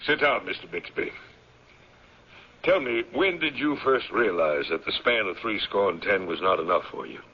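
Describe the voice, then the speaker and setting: An actor in an old-time radio drama playing a stern, commanding old captain who speaks gravely and deliberately. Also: male voice, low-pitched, expressive. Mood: measured, knowing. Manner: grave, deliberate, ominous, scripture-quoting. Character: stern, commanding old patriarch, captain of a secluded mountain community. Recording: dull vintage radio broadcast.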